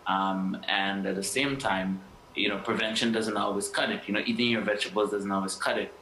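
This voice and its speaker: A man's voice: lowish voice, fairly even intonation, brisk, sharp tone. A man from Guyana speaking as an interview guest, hesitating with many fillers and restarts. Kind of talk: interview answers. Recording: echoey room with faint noise.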